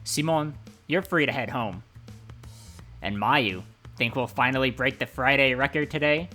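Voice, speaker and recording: A man's voice: medium pitch, moderate pace, sharp tone, soft background music, lightly acted. A man playing a genial, teasing bar boss in a visual novel fan dub. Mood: encouraging, teasing.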